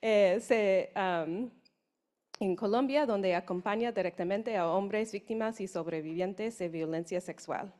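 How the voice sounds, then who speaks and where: A woman in her forties, a non-native speaker, introducing panelists at a conference with a handheld mic, halting and hesitant. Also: medium pitch, darkish tone. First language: English. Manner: hesitant, halting, slow with restarts. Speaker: session moderator. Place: conference room on stage.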